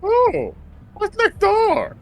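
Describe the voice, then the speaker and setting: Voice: very high-pitched, animated, very slow, gravelly, a little hiss or hum, pitched above the performer's own voice, heavily acted. A performer voicing a manic, needy male sculptor, pleading and demanding like a child, in a game read-through. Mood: naive curiosity.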